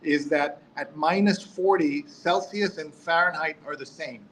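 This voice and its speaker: A man's voice: on the high side, a little slow, a bit bright, slight background noise, low-quality recording. A man hosting a live talk show in a casual, chatty way, drifting into asides and reading out viewer comments.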